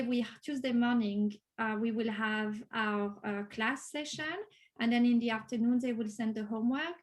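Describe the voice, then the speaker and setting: A woman from France with a French accent, a native French speaker, answering interview questions conversationally. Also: a little high. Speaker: course graduate being interviewed.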